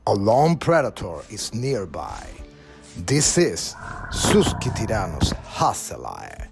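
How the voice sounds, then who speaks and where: A man narrates a nature documentary in a low voice. His pitch moves in a lively way through the scripted lines, and music plays clearly behind him. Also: slow pace.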